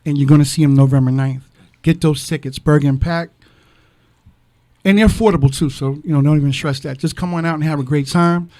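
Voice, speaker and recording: A man hosting a radio show in an upbeat style, hyping guests with shout-outs. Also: fairly high pitch, fairly quick.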